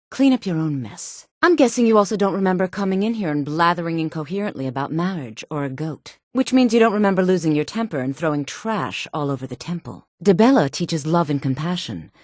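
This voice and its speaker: An actress voicing a stern, sarcastic temple priestess in a fantasy video game, haughty and chiding in manner. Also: female voice, deep voice, a little mellow, no echo, studio quality.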